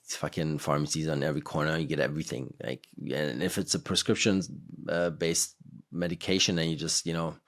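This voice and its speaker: Very deep-voiced rambling man. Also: steady tone, brisk, fairly bright tone. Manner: rambling, filler-heavy, laughs between points. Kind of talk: casual conversation.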